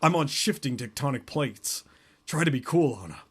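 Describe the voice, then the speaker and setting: Man, comedic dramatic reading, deadpan, lively pitch, cracks up at the text. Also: moderate pace, slightly rough. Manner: reads deadpan, breaks into laughter and asides. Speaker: podcast co-host reading a novel aloud.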